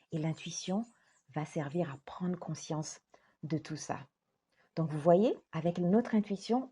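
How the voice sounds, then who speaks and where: Woman life coach, low-pitched, mellow and husky, gentle and earnest delivery. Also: muffled recording. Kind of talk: direct-to-camera talk.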